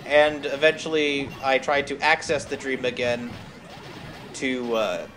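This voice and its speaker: A man chatting casually as he streams a game, telling stories haltingly and drifting off on tangents, with some noise in the recording. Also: medium pitch, a bit bright. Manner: halting, tangent-prone storytelling. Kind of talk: casual rambling chat over gameplay.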